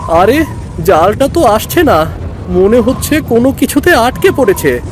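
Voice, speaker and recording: A woman's voice: on the high side, big pitch swings, background noise. A woman voicing a protective, reassuring elder brother, a boy in a Bengali village cartoon. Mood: urgent. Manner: brisk, reassuring, mildly bossy. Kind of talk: Bengali cartoon story voice acting. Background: music and rain.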